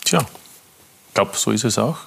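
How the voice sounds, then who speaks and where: A man with an Austrian accent hosting a sports talk show, asking measured questions with an easy, friendly laugh. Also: fairly low pitch, expressive, creaky, a little hiss or hum. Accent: Austrian German. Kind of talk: studio interview. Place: TV studio.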